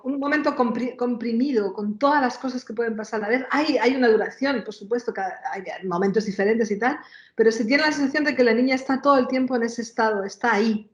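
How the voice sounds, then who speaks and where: A woman talks conversationally as an interview guest, her voice a little high. She feels her way through ideas, rewording as she goes. The room rings noticeably around her and the sound is somewhat muffled. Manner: searching for words, reformulating. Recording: roomy, dull lo-fi sound.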